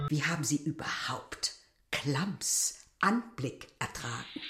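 An actress playing a proud, reproachful village innkeeper's wife in a radio drama, emphatic and often dropping into whispers. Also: female voice, low voice, fairly bright tone, exaggerated voice. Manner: slow, emphatic, drops into breathy whispers. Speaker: actor. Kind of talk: literary radio drama acting.

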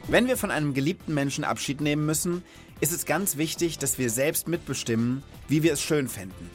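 A man's voice: a little high, brisk, over music. A man in his thirties hosting a children's podcast, chatting and telling personal stories. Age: 30s. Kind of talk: conversational storytelling and chat for children.

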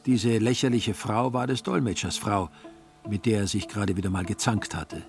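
A man's voice: on the low side, fairly even intonation, moderate pace, creaky. A man delivering scripted literary narration as a narrator in a radio drama. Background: faint music.

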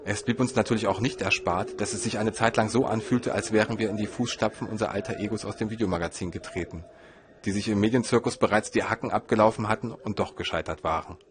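A man with a fairly low voice narrates his own story. He reads from a script in a measured, literary way, like an audio feature. There is faint ambient noise in the recording. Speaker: narrator and filmmaker.

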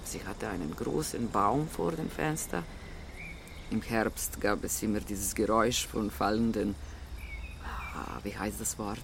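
A dreamy homeless man in a radio drama, kinda croaky, hunts for words, wistful. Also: fairly low pitch, noisy background. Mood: nostalgic. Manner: halting, gropes for words, dreamy. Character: homeless deported man in Rome, wistful, nostalgic for Germany.

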